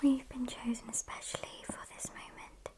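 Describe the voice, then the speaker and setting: A British woman voicing a sassy, smug female vampire in a soft, breathy ASMR roleplay whisper, with hesitant pauses and mock-polite condescension. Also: a little high, little pitch movement, close to an everyday voice. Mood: smug, matter-of-fact.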